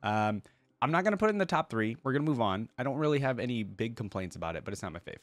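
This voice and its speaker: A man's voice: mid-range voice, lively intonation, rapid-fire, dry recording. A man hosting a reaction video, giving casual, informal commentary in slangy fan talk addressed to his viewers.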